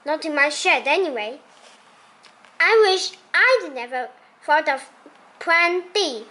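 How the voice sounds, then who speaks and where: A woman reading a children's story aloud expressively, in a childlike storytelling manner with deliberate phrasing. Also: high voice, animated, bright, forceful tone. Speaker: storybook reader.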